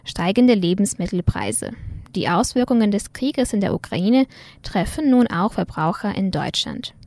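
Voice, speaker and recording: A woman presenting a news and culture programme in a measured, formal scripted read. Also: medium pitch, sing-song, a little mellow, no room sound.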